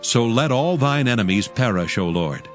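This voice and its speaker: A man giving a measured, solemn scripted narration of scripture. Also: medium pitch, expressive. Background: music.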